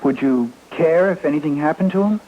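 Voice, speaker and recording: A man's voice: a little high, brisk, close to an everyday voice. An actor playing a resentful, menacing teenage boy in a 1950s radio drama, needling and insistent. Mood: brooding. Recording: muffled, noisy old radio recording.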